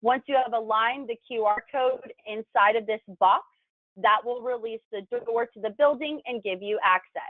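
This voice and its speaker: A woman with a slightly high voice presents a tutorial, walking through instructions one step at a time. The recording is dry and narrow, with a thin, telephone-like sound. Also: moderate pace, dry recording. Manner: clear step-by-step explaining. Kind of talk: instructional video narration. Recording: thin phone-like band-limited sound, dry.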